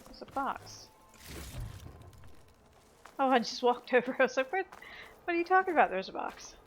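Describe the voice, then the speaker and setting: High-voiced woman playing a video game. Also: very animated, normal speed, a little hiss or hum. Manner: playful exclamations, talks to the game and herself. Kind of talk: casual gameplay commentary. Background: game music and sound effects.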